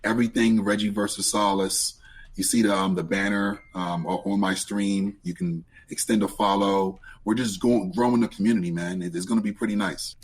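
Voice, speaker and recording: A man with a raspy voice, chatting in an easygoing, friendly way as a guest on a podcast interview. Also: on the low side, fairly even intonation, moderate pace. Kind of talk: casual interview chat.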